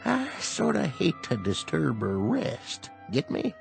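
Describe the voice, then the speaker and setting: An actor playing a timid, henpecked widower with a gravelly voice and a hesitant, folksy manner in a radio drama. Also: male voice, on the high side, huge pitch swings, slow, soft background music, exaggerated voice. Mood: sheepish, hesitant. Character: timid, henpecked male widower, comically reluctant.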